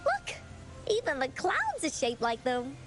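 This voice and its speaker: A cheerful, imaginative little girl in a video game. The voice is tiny and very high, leaping around with bubbly, childlike eagerness. Music runs underneath. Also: wildly swooping pitch, measured pace, exaggerated voice. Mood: eager, cheerful. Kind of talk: video game character acting.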